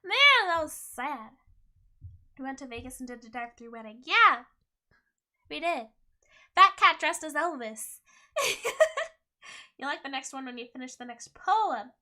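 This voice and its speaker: Young woman, streamer, hoarse, playful, high pitch, bright tone. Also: lively intonation, measured pace, long pauses. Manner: playful, giggly, teasing chat. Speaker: VTuber streamer. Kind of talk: casual game stream chat, reading chat.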